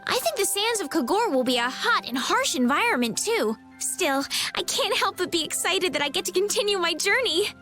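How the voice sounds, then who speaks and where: A woman's voice: extremely high pitch, expressive, normal speed, hardly any pauses, pushed and bright, faint music behind, heavily acted. A woman voicing a sweet, polite, idealistic young lady in a video game, with proper phrasing. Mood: hopeful, excited.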